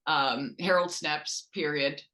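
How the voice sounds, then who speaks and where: A woman with a slightly low voice talks sports in a casual podcast chat. She is an excitable storyteller who jokes as she goes. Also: takes it slowly. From Canada. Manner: excitable, animated storytelling, joking. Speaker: podcast guest, sports PA announcer.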